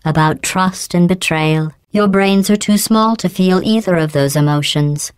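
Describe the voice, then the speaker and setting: An actress voicing a cold, passive-aggressive female AI supervisor in a video game, in a deadpan, sarcastic, mock-polite manner through a robotic voice filter. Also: lowish voice, unhurried, smooth, no room sound. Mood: dry sarcastic. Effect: robotic voice processing.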